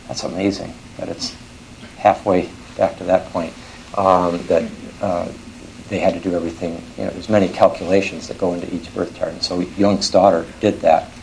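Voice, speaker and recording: An older man from Switzerland giving an academic lecture in a scholarly, halting way, often correcting himself mid-phrase. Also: low-pitched, vocal fry, a little mellow, a little hiss or hum, poor audio.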